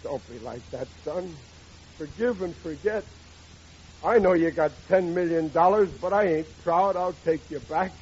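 A man's voice: fairly high pitch, measured pace, darkish tone, lightly acted. An actor playing a blustering, self-pitying, rather dim working-class father in an old radio comedy. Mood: pleading, wounded. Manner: whining, indignant, colloquial. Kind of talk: 1940s radio comedy acting. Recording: dull, noisy old radio recording.

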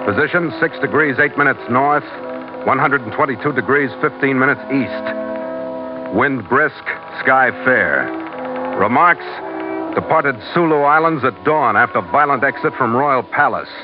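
Sea captain narrator, played by a man, vintage radio adventure: clipped, hard-boiled, steady, mid-pitched, music prominent underneath. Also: measured pace, natural voice. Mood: terse, grim. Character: steady, seasoned male sea captain narrating his log. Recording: muffled old radio recording. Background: dramatic music.

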